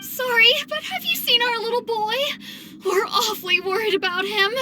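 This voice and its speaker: A woman's voice: very high-pitched, lively intonation, moderate pace, very noisy. A woman playing an anxious, doting mother in a video game, speaking in a fretful, earnest, tender way. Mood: tearful worry. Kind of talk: English video game voice acting.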